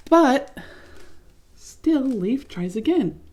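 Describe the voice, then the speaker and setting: A young adult woman reading a picture book aloud to children, gently commenting and asking them questions. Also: high voice, lively intonation, very slow. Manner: gentle, asks listeners questions, adds comments. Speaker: children's story reader.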